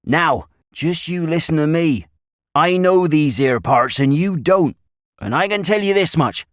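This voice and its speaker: Storybook role: man playing grave old shepherd, rural English accent, folksy and emphatic, lively intonation, dry sound. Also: a little high, measured pace, no room sound, pitched above the performer's own voice. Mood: grave warning. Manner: emphatic, folksy dialect speech. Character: old country shepherd, grave, warning, rustic.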